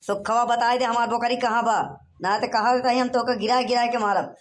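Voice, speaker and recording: A man's voice: very high voice, level tone, normal speed. A man voicing a blustering village husband in a cartoon, in a rustic Awadhi accent from eastern Uttar Pradesh. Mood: angry, accusing. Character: blustering village husband searching for his lost goat. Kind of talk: cartoon dialogue in rustic dialect.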